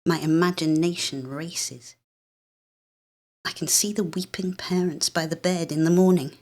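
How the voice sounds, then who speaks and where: A British actress narrates literary fiction in the first person. Her voice sits low, and she tells the story with measured, expressive delivery and a sense of drama. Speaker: actress reading a short story.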